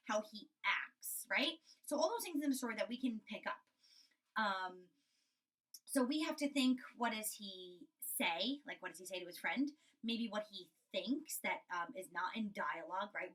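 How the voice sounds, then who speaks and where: A woman's voice: on the high side, sharp tone, recorded from a distance, low-quality recording. A woman teacher giving a reading lesson for young children, explaining things and often checking with a questioning tag. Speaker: elementary school teacher.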